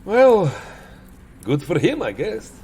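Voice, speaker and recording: A man's voice: high voice, wildly swooping pitch, slow pace, a little mellow, slight background noise, heavily acted. A man playing a weary, exasperated vampire entertainer in a comic audio drama, grumbling theatrically and petulantly.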